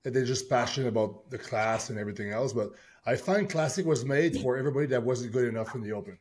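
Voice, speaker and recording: Mellow-voiced man in his thirties. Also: mid-range voice, fast, a little hiss or hum. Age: 30s. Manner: relaxed, talks while reading from a screen. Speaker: podcast co-host. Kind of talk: casual chat.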